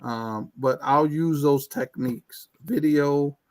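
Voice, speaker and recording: A man's voice: fairly high pitch, slow pace. A man talking as a guest in a casual online chat, stammering with many restarts. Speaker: guest IT professional.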